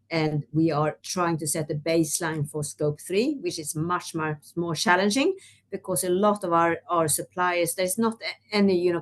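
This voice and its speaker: A woman from Sweden, speaking as a guest executive in a webinar discussion, talking conversationally with many "you know"s. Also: on the low side. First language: Swedish.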